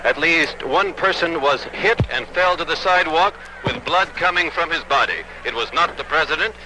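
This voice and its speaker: Male news reporter, fairly high voice, eyewitness delivery, noisy outdoor archival recording. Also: moderate pace, sharp tone.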